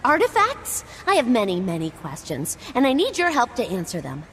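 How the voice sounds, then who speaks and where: A woman playing an eager, impatient researcher in a video game, brisk and full of curiosity. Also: fairly high pitch, heavy background noise. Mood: excited curiosity. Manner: brisk, excitable, inquisitive. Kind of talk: scripted video game dialogue.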